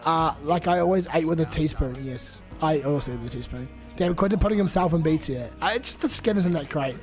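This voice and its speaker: A man's voice: a little high, fairly quick, music in the background. A young man with a New Zealand accent who is sick, rambling and swearing casually on a live stream while reading chat aloud.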